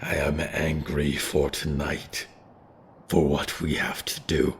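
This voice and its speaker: A man voicing a calm, weary, ancient forest creature who speaks slowly and gravely. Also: extremely low pitch, slight background noise, pitched below the performer's own voice, full character voice. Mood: solemn. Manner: slow, solemn, measured.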